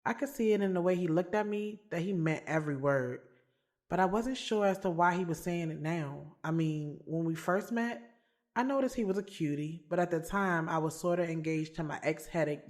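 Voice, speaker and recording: A woman's voice: lowish voice, normal speed, darkish tone, close to an everyday voice. A woman playing a sassy, candid young woman in an audio drama, telling her story in a casual, slangy way, swearing freely. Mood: taken aback, reflective. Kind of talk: audio drama first-person narration and dialogue. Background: music.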